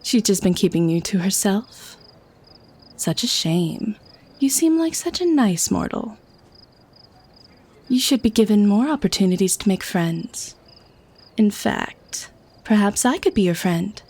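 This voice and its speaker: Actress as silky, teasing female vampire for audio drama; mid-pitched, mellow and dark, coaxing, slyly predatory. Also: expressive, measured pace, long pauses, husky. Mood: coaxing, mock-sweet. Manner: coaxing, silky, genteel, mock-sweet. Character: sly, teasing, predatory lady vampire feigning harmless friendliness. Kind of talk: scripted ASMR audio roleplay.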